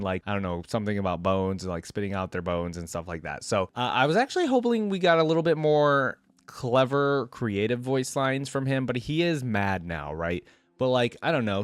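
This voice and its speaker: A man's voice: on the low side, no echo. A man hosting a reaction video, giving casual, rambling, conversational commentary into a close mic.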